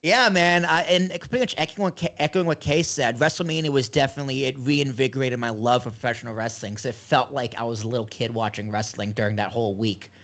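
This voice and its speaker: A man's voice: mid-range voice, fairly even intonation, fast talker, few pauses, fairly bright tone. A man co-hosting a podcast, talking loosely and enthusiastically in casual chat. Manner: loose, rambling, enthusiastic fan talk. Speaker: podcast co-host.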